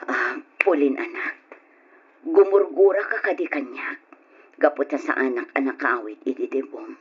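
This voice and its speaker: A woman playing a loving, apologetic middle-aged mother in an old radio drama, with a Filipino accent and a soft, pleading manner. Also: a bass voice, moderate pace, slightly rough, highs cut off, exaggerated voice.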